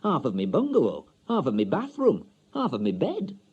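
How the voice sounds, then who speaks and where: Husky, wistful older Yorkshire bachelor. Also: male voice, mid-range voice, huge pitch swings, normal speed, darkish tone, highs cut off. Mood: wry, confiding. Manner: colloquial, confiding, droll. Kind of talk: dramatic monologue, radio short story.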